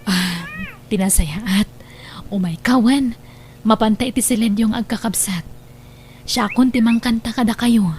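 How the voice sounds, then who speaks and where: A woman's voice: fairly high pitch, a little slow, long pauses, a little mellow, slight background noise, close to an everyday voice. A woman playing a worried wife and mother in an audio drama, speaking in an emotive, sighing way. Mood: gentle. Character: suspicious, worried wife and gentle mother of young children. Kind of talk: Ilocano audio drama acting.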